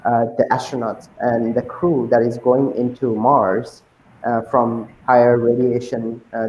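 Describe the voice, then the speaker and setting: A man's voice: mid-range voice, a little mellow, a little hiss or hum. Hesitant young man, echoey dull audio. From Sri Lanka. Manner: hesitant, many ums and uhs. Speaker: guest. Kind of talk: casual interview answers.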